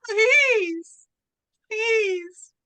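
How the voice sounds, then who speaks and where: A trapped, terrified woman in a radio thriller. Her piercing, extremely high voice stretches each plea very slowly into a wail. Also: pushed and bright, dry recording, exaggerated voice. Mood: terrified, pleading. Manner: drawn-out wailing pleas. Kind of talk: old-time radio suspense drama, performed live.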